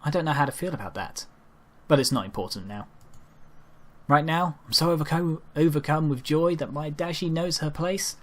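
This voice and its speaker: A man with a slightly high voice narrates a first-person story. He reads as an amateur, with an unpolished delivery that can trip over the wording. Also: fairly bright tone. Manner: halting amateur delivery with stumbles over lines. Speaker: amateur reader narrating a fan fiction story.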